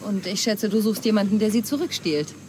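A woman's voice: mid-range voice, a little fast, dark tone, lightly acted. An actress playing a self-assured, dryly teasing female mercenary in a dramatic German game dub, speaking with confidence. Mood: cool, confident. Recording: clear ambient noise.

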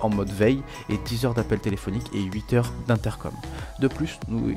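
A man presenting a scripted product review as a voice-over, reading aloud with small stumbles and self-corrections. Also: lowish voice. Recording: voice-over with music behind. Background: background music.

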